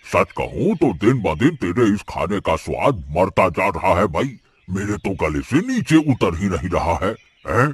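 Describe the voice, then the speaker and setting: A man's voice: low-pitched, very animated, unhurried, few pauses, slightly rough, dry recording, full character voice. A man playing an older male regular diner in a cartoon story, complaining and advising in an emphatic, colloquial way. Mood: grumbling. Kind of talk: Hindi cartoon story voice acting. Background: background music.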